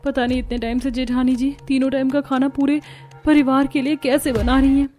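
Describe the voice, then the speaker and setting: High-voiced, theatrical young housewife played by a woman. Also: a little fast, soft background music, close to an everyday voice. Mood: overwhelmed. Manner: expressive, theatrical. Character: young working sisters-in-law, lively and put-upon housewives. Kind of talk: Hindi cartoon story acting.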